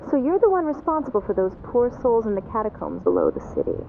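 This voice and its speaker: A woman's voice: a little high, wildly swooping pitch, fast. A woman playing a defiant, confrontational detective in a radio drama, tinny and noisy. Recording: tinny lo-fi with noise.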